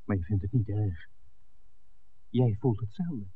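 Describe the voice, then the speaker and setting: A man's voice: fairly low pitch, a little slow, lightly acted. An actor playing an eager, impulsive, ardent man in a 1960s radio drama, speaking earnestly and directly. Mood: earnest, smitten. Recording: narrow, noisy old radio recording.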